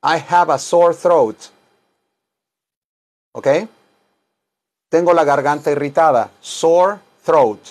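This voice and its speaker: Slow-paced middle-aged man teaching. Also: on the high side, a little mellow. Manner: informal, repetitive, dictating phrases word by word. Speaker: English teacher and self-styled chaplain. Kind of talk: bilingual English lesson in Spanish and English. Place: small wood-paneled room indoors.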